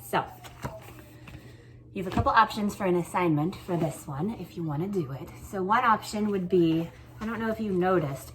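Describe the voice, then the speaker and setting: A woman with a low-pitched voice speaks as a teacher to her pupils. Her delivery suits reading a picture book aloud and explaining schoolwork to children. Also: rough recording. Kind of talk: expressive storybook read-aloud, then informal assignment explanation.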